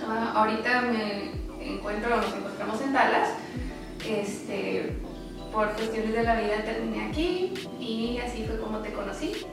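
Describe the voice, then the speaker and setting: A woman's voice: a little high, unhurried, creaky, distant mic. A young woman from Ciudad Juárez with a northern Mexican accent, chatting in a playful, slangy way with bits of English. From Ciudad Juárez, Chihuahua, Mexico. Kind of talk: casual chat with English slang mixed in. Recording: very echoey room, off mic, music behind.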